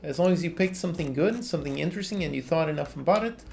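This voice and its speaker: Gravelly Portuguese-accented man streaming. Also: a little high, rapid-fire, slight background noise. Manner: relaxed, reads chat questions aloud and answers frankly. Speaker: game developer livestreaming. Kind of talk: casual livestream chat while working. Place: home office, indoors. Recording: quiet lofi music underneath.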